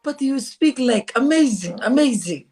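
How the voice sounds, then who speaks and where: A man on a video call, speaking halting, broken English in an eager, flattering way. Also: very high-pitched, slightly rough, soft background music. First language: Somali. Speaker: live-stream guest. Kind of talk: casual video-call chat.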